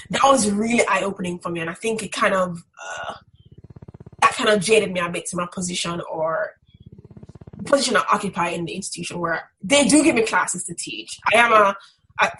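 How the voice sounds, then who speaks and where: Woman, bright forceful voice, emphatic reflective interview talk, faint background noise. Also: mid-range voice, rough recording. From Caribbean islands. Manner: strident, emphatic. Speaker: interviewee, graduate student instructor.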